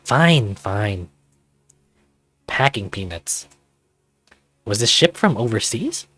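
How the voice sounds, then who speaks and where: A man voicing a playful teenage hero with wry, deadpan teasing. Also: fairly low pitch, slow pace, no room sound, faint music behind, heavily acted.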